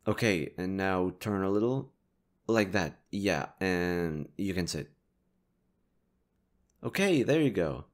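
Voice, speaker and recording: Casting: male actor as gentle, considerate young man for listener roleplay, low register, very expressive swings in pitch. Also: slow, pauses often. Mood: gentle, reassuring. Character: kind, reassuring young male stranger helping a distressed girl. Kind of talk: intimate audio roleplay addressed to the listener.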